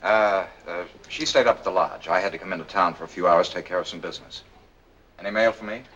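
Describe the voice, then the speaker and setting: A man's voice: low-pitched, fast talker, a bit bright, highs cut off. An actor playing an earnest, insistent man in love, in a 1940s film drama with a hissy old soundtrack. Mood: steady. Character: earnest, lovestruck man defending his missing fiancée.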